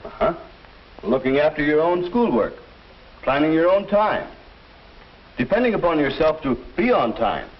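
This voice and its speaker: An actor playing a kindly, patient teacher with a measured, explanatory way of talking in a 1950s instructional film. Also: male voice, a little high, lively intonation, lightly acted. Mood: encouraging. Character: kindly, patient male teacher advising a student. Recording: muffled old film soundtrack, faint noise.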